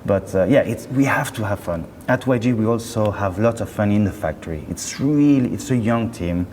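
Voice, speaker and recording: Male company spokesman, deep-ish voice, conversational and self-correcting, recorded in a noisy reverberant show space. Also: lively intonation, a little mellow. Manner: chatty, halting and self-correcting. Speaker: company representative being interviewed. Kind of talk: casual interview chat. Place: indoor hi-fi show. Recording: roomy hall, clear background noise.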